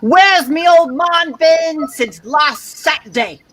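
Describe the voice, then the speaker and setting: Shrill, rowdy heckling wife. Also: female voice, fairly bright tone. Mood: aggrieved, rowdy. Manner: brash, bawling, drawn-out heckling. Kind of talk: 1930s radio play acting. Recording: faint background noise, video-call audio.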